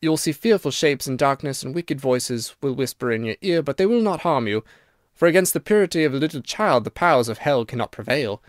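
A man's voice: mid-range voice, expressive, brisk, no echo, clean studio sound, natural voice. A man voicing a weary, sorrowful old ghost from a storybook, dreamy and sighing in manner. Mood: solemn. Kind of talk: storybook narration character.

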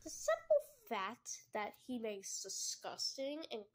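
High-voiced girl ranting on phone video. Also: expressive, a little slow. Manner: blunt, dismissive. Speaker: young video creator. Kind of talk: phone-filmed rant. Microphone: phone camera.

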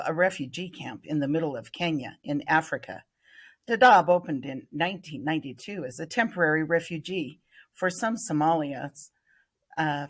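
A woman voicing an earnest female TV reporter in a storybook, with measured, formal announcing. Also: sits low, husky, full character voice.

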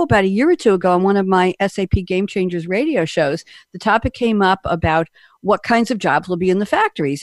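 A woman's voice: lowish voice, no room sound, studio quality. Upbeat American woman hosting a talk show. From United States. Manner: upbeat, chatty, laughs often. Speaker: radio show host.

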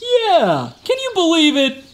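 A man's voice: extremely high pitch, huge pitch swings, slow pace, full character voice. Man playing a boastful, swooping tough-guy guard. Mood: earnest, indignant. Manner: folksy, slangy, exaggerating. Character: boastful, evasive tough-guy security guard, a fibbing male cousin. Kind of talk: comic radio drama acting.

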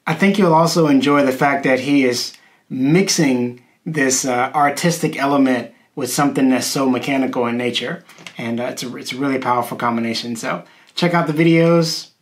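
Guy in his 30s doing casual youtube host talk, medium pitch, sitting in a small room. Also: normal speed. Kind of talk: casual explanatory talk to viewers. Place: small indoor room, seated at a wooden table.

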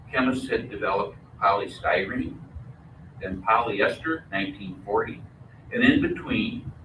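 An older man with a booming voice, giving a prepared lecture at a podium microphone with measured, lightly humorous delivery. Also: sharp tone, slight background noise. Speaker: guest lecturer. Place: mosque lecture room. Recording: echoey room, from far off.

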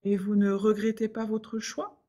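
A woman reading scripted everyday dialogues for a French lesson in a clear, careful way. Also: mid-range voice, level tone, unhurried, dark tone. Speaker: dialogue reader for French learners.

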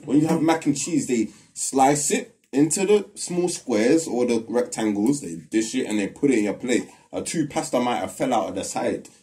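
A young man with a London accent, a podcast co-host telling animated, slang-heavy stories in casual chat. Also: medium pitch, normal speed, hardly any pauses, distant mic. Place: small indoor studio room. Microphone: clip-on lapel mic.

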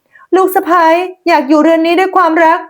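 Woman voicing a high-pitched, gentle young daughter-in-law. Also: steady tone, brisk. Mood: earnest pleading. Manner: earnest, polite, pleading. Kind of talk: dramatized folk tale narration.

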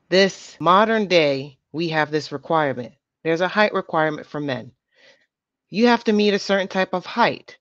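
A woman's voice: low voice, no room sound. A woman hosting a reaction channel, giving emphatic, lecturing commentary on dating. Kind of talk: opinionated commentary. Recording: dull lo-fi dry voice.

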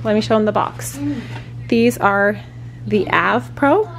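A woman's voice: medium pitch, takes it slowly, gravelly, crowd noise. A woman in her thirties vlogging casually from a parked car and a hotel room, rambling with lots of fillers. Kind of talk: casual vlog chat. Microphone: camera held at arm's length.

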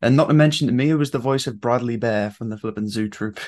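A man's voice: medium pitch, quick pace, a little mellow. A young British man hosting a podcast with casual interview chat. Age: young adult. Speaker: podcast host. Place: small room at home.

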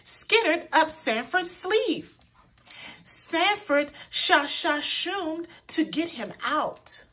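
A woman reading a children's picture book aloud in an expressive, deliberate way, as a storyteller for young listeners. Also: high-pitched. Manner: pauses to point out words to young listeners; animated, deliberate delivery for children.

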